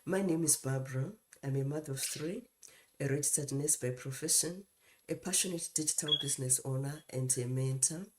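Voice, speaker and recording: A middle-aged woman with a Zimbabwean accent co-hosting a live talk show, speaking deliberately in short phrases with pauses. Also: deep voice, slight background noise, low-quality recording. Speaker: co-host and mentor.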